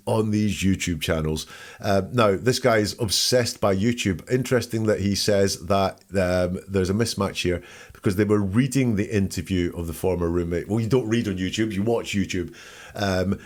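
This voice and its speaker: A man's voice: on the low side, darkish tone. A man hosting a podcast, giving informal, wry analytical commentary and reading out quotes to pick them apart. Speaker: podcast host.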